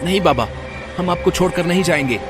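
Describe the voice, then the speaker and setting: A man's voice: high voice, brisk, music in the background, close to an everyday voice. A man voicing a frightened, earnest young schoolboy who pleads anxiously in a horror story. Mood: anxious, pleading. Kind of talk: dramatized Hindi horror story.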